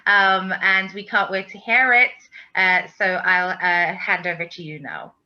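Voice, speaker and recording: A woman's voice: fairly low pitch, fairly even intonation, a little slow, muffled recording. A woman hosting an author interview, encouraging him and handing over to him for the read-aloud. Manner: enthusiastic, encouraging. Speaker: host.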